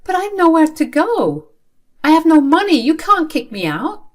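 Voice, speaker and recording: A woman's voice: on the low side, animated, normal speed, fairly bright tone. A woman voicing a wronged teenage daughter who argues back in an exasperated, pleading way in a dramatized story. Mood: distressed, pleading. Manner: pleading, indignant.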